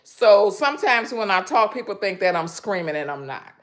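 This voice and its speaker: A woman from Michigan with a naturally loud voice hosts a relaxed live stream from her home kitchen. She rambles in a chatty way, filling pauses with ums and addressing viewers in the chat directly. The sound comes through a phone camera. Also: mid-range voice. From Michigan, USA.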